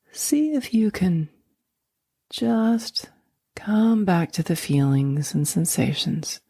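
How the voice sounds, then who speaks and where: A low, mellow woman's voice guides a sleep meditation, soft and slow. Much of it drifts into a breathy near-whisper. The sound is close and dry, with no room around it. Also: dry recording.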